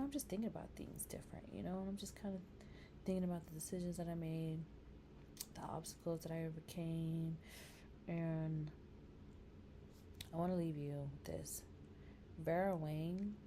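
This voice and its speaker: A woman's voice: sits low, noisy background. A woman in her thirties talking casually to camera in a vlog, chatty and rambling with lots of fillers, encouraging her viewers. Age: 30s. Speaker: vlogger.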